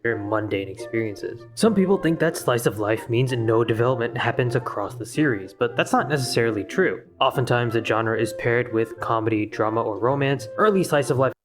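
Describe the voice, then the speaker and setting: A man reads a scripted explainer in a steady, measured voice of middle pitch. Soft music runs under the narration. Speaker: video narrator.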